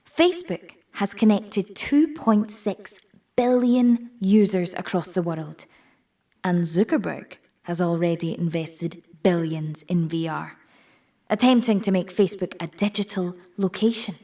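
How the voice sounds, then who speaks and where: A woman playing a married tech speaker in an audio drama, giving a measured, persuasive presentation. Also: fairly low pitch, mellow, recorded from a distance. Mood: confident, persuasive. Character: married tech-industry woman pitching virtual reality to an audience. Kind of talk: scripted audio drama monologue, public presentation.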